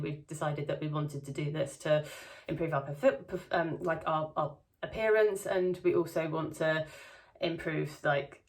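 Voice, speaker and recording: A woman with a slight lisp, chatting casually in a diary vlog, with many ums and restarts. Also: sits low. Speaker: vlogger. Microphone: camera close-up.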